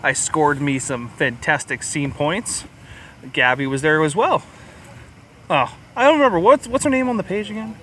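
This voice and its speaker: A man's voice: on the high side, moderate pace, fairly bright tone, slight background noise. A man hosting a podcast in casual, rambling, joking banter. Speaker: podcast host.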